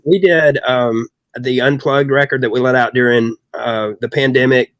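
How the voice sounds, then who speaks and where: A man's voice: mid-range voice, fairly even intonation, normal speed, no echo, rough recording. A middle-aged man telling casual anecdotes as a rock singer guest in a chatty, long-winded way.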